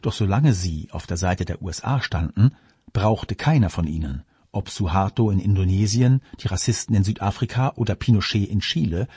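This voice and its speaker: A man reads scripted commentary in a measured, formal way. His voice is low and dark, with a husky grain. The sound is dry and close. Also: no room sound. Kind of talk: scripted political commentary, read aloud.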